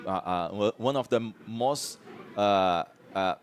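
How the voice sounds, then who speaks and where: Brazilian man commentating in halting English. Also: on the low side, soft background music. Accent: Brazilian Portuguese. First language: Portuguese. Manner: halting English with restarts and fillers, friendly. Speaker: esports co-commentator. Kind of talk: live tournament commentary.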